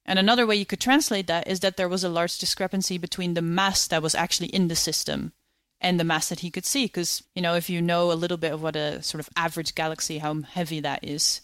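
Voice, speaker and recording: Woman calmly explaining astronomy. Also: on the low side, quick pace, no room sound, studio quality. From Netherlands. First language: Dutch. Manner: clear, patient explainer. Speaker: astronomer guest. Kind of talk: interview, explaining science.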